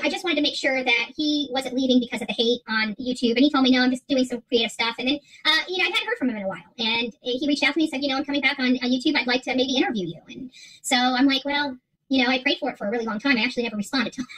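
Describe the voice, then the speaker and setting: A woman's voice: high-pitched, quick pace, fairly bright tone, breathy edge, low-quality recording. A middle-aged woman chatting casually to livestream viewers as host, emphatic, repeating herself and rambling. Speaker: livestream creator and channel host. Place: small furnished room at home, indoors.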